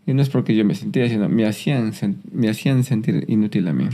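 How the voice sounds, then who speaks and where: A man, giving a personal testimony in a soft, reflective way with some hesitant restarts. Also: lowish voice, fast. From Peru. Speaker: guest.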